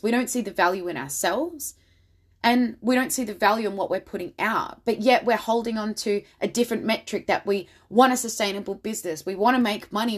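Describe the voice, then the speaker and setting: A woman's voice: medium pitch, fairly bright tone. Upbeat woman podcast host. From Australia. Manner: enthusiastic, motivational, direct. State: slightly raspy voice from much talking. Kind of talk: solo podcast monologue.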